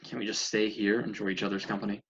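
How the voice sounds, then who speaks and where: A man acting a lovestruck young boyfriend, needy and a bit sardonic. His voice is husky, on a dull lo-fi recording of a table read. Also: lowish voice, flat delivery, fast talker. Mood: longing. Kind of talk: script read-through acting.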